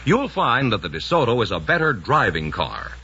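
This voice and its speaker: An American man delivers a 1950s radio sales pitch with emphatic polish. His voice is bright and very lively in pitch, on a dull old broadcast recording. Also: moderate pace, slight background noise. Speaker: radio announcer. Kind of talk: 1950s radio commercial announcing.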